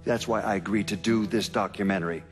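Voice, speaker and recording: An actor playing an earnest, condemning middle-aged man in a measured documentary interview for a game. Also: fairly low pitch, over music, close to an everyday voice. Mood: stern, righteous. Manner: measured, righteous.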